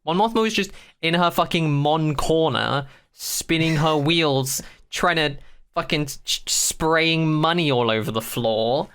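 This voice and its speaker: Bright, clear-voiced podcast co-host of unclear sex. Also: mid-range voice, a little slow. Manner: sweary, blunt, opinionated. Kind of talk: casual chat.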